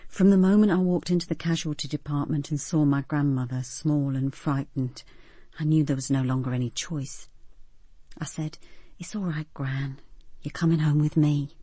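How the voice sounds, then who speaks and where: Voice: mid-range voice, fairly even intonation, normal speed, mellow, no echo, natural voice. An actor playing a dutiful, caring grandchild, narrating reflectively in a radio drama.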